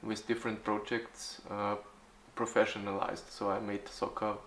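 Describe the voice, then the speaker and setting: A man in his forties from Austria, answering interview questions haltingly, with many fillers and restarts. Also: on the low side, flat delivery, a bit bright, echoey room, slight background noise. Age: 40s. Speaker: youth football club organizer.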